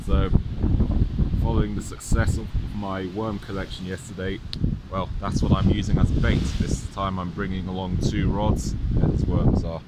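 Man, lowish voice, chatty angler vlog, handheld phone outdoors, heavy wind buffeting the mic. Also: level tone, normal speed. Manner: chatty, matter-of-fact, self-correcting. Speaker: angler vlogger. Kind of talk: casual solo commentary. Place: outdoors on a windy seaside pier. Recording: loud wind noise on the mic.

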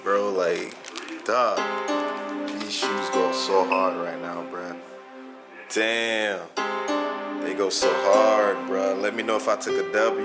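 A young American man chatting casually in a slangy, conversational way, as a vlogger from Orlando talking to camera. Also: lowish voice. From Orlando, United States. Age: young adult. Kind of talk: casual vlog chat.

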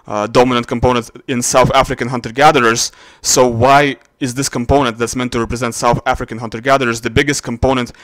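A man's voice: medium pitch, a bit bright, dry recording. A man narrating a casual screen-recorded explainer, thinking aloud with frequent fillers. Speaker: genetics video host.

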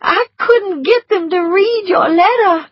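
A woman reading a story aloud, voicing a frightened young servant girl in a high, shaky voice close to tears. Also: measured pace, no room sound, highs cut off.

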